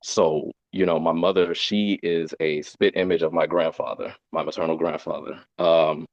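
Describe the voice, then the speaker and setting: A man's voice: very deep voice, little pitch movement, normal speed, creaky, no echo. A man speaking earnestly in a community discussion over a video call, explaining at length with ums and "you know". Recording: dull muffled video call audio.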